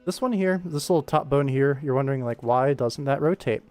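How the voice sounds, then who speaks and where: A man's voice: medium pitch, brisk, darkish tone, soft background music. A man hosting a casual animation work stream, talking informally to viewers as he narrates and explains what he is doing. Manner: informal, addresses viewers, narrates actions with sound-effect words. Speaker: animator and livestream host.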